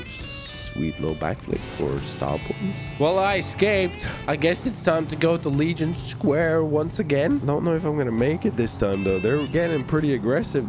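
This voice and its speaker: A man giving excited, boisterous gameplay commentary as a video host, with frequent shouts and swearing. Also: a little high.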